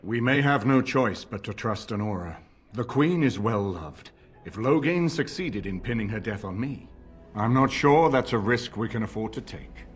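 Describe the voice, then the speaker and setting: An actor playing a shrewd, calculating nobleman with a courteous, measured, formal and persuasive manner. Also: mid-range voice, lively intonation, slightly rough, soft background music.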